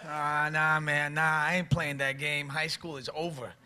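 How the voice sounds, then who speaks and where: A man's voice: on the high side, flat delivery, measured pace, pushed and bright. An actor playing a brash, teasing, streetwise guy in a radio play, needling in slangy New speech. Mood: mocking, amused. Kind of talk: stage play performed for radio.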